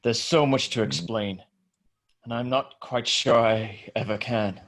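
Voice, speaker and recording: A man's voice: medium pitch, a little slow, fairly bright tone, close to an everyday voice. A man reading the part of a guarded, secretive wealthy bachelor in a hesitant, understated way at a script table read.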